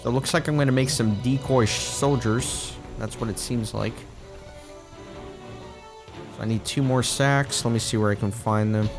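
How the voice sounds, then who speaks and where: A man's voice: medium pitch, steady tone, normal speed, music in the background. A man getting over a cold, giving casual, chatty, rambling commentary while playing an online game. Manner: chatty, rambling, self-correcting. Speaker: let's play gamer.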